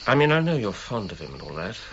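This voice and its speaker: Animated, stubborn gentleman archaeologist. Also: lowish voice, fast, lo-fi sound, slight background noise. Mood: concerned, disapproving. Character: earnest, stubborn gentleman archaeologist, proud and anxiously possessive. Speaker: actor in a radio drama. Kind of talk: period radio drama acting.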